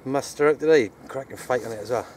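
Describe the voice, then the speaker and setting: A man's voice: medium pitch, fast, a little mellow. A man, chatting casually as an angler outdoors, recorded on a camera. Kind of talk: casual chat. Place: grassy bank of a fishing lake, outdoors. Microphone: camera a few steps away. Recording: distant outdoor camera audio, faint noise.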